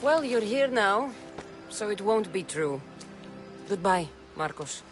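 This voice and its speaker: A woman's voice: medium pitch, sing-song, takes it slowly, over music. An actress playing a confident, wry female mercenary in a video game cutscene. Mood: wry, fond.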